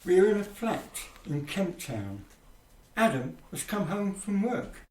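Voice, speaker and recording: A man's voice: high voice, very animated, measured pace, a little mellow, recorded from a distance, a little hiss or hum. A man narrating a radio drama in a scripted, scene-setting style, recorded roomy and away from the mic. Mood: measured.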